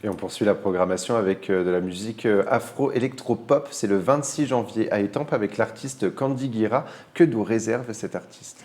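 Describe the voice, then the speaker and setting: A man's voice: fairly low pitch, normal speed, hardly any pauses, darkish tone. A man hosting a radio magazine, introducing items and putting prepared questions to a guest. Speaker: radio host, interviewer.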